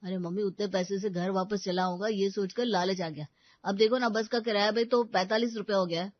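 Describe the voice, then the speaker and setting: A woman's voice: mid-range voice, fairly even intonation, a little fast, no room sound, highs cut off, lightly acted. Woman voicing a cheeky, pleading college-age son.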